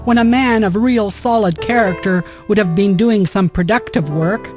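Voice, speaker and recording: Woman storyteller narrating over prominent guitar music. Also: medium pitch. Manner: measured, formal storytelling. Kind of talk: scripted historical narration.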